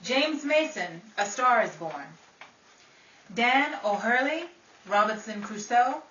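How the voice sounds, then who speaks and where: A woman's voice: fairly high pitch, lively intonation, slow pace, a bit bright, recorded from a distance. A woman presenting awards, formally reading out a scripted list of nominees. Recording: dull, echoey room, off mic, faint hiss.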